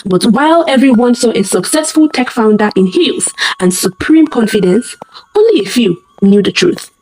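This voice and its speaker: Expressive woman narrating a dramatic story. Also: a little high, moderate pace, slight background noise, poor audio. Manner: theatrical, suspenseful, short punchy phrases.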